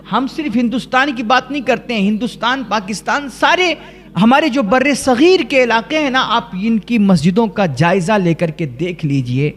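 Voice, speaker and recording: A man from India preaches in an earnest, emphatic way, leaning on rhetorical questions and deliberate repetition. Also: sits high. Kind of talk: rhetorical religious sermon.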